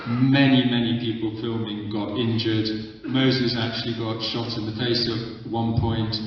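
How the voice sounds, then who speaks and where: A man's voice: mid-range voice, level tone, unhurried, a little hiss or hum. A man who grew up in Uganda, a filmmaker answering questions on stage, earnest and explaining at length. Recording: echoey hall from far off, dull lo-fi.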